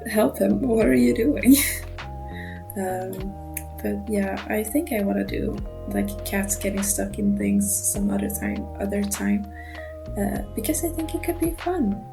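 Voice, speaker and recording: Nervous mumbling young woman, gravelly voice. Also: mid-range voice, measured pace. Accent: non-native English. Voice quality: monotone. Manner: nervous, mumbling, laughs often. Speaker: art channel creator. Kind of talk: casual voiceover narrating a drawing. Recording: music playing underneath.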